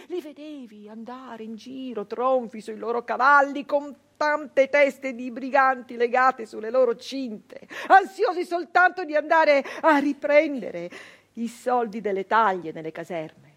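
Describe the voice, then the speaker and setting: High-voiced, fierce woman brigand. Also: heavily acted. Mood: contemptuous. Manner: intense, deliberate, with long pauses, swinging from hushed to outcry. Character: fierce bitter 19th-century female brigand telling her story. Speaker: stage actress. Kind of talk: dramatic theatrical monologue.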